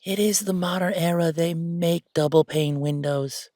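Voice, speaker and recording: A man's voice: fairly high pitch, steady tone, a little slow, dark tone, dry recording, natural voice. A man playing a chatty, easygoing ghost who died at thirty-five, rambling casually with wry asides in an audio roleplay. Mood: helpful, chatty. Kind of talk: conversational audio roleplay monologue.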